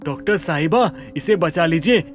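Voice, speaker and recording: A man playing a worried, resolute village headman in an animated moral story, speaking earnestly and emphatically. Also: fairly high pitch, normal speed, music in the background, close to an everyday voice. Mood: pleading. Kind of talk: animated Hindi story dialogue.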